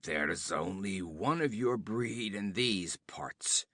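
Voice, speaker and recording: A man voicing a wounded, defiant barbarian warrior who rasps and pants his words slowly. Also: deep voice, lively intonation, a bit bright, no echo, voice pushed down, heavily acted. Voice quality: rasping. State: panting, badly wounded.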